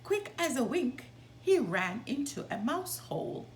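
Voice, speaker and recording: A woman reading a children's story aloud as a storytime host, with expressive, deliberate delivery. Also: high-pitched, a little hiss or hum.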